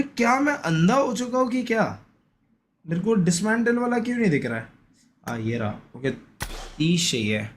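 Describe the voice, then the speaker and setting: A man's voice: high voice, fairly bright tone, a little hiss or hum. A man giving casual, slangy live gaming commentary, often repeating short pleading phrases. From India. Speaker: gaming streamer.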